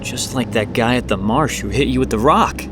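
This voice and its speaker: An actor plays a low-key young musician in an audio drama. He talks casually and a bit hesitantly at a medium pitch, against a noisy, rumbling background. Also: moderate pace, lightly acted. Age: young adult.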